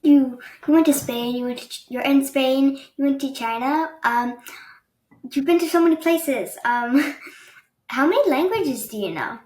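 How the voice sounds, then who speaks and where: Chatty young woman host, bright pitch. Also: animated, measured pace. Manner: chatty, hesitant with many ums. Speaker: podcast host. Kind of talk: casual video-call interview. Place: bedroom, indoors. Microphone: video call with laptop mic. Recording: echoey room with faint noise.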